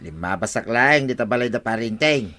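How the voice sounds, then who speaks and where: Bright-voiced boastful village husband. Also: male voice, mid-range voice, animated, a little fast, faint music behind. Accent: Ilocano. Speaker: radio drama actor. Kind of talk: Ilocano radio comedy drama.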